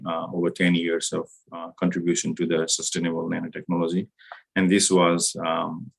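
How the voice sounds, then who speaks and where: A middle-aged man from Nepal giving a university lecture over a video call, talking in a measured way with many hesitations. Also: sits low, gravelly. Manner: measured, hesitant with frequent uh fillers. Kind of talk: online lecture over slides.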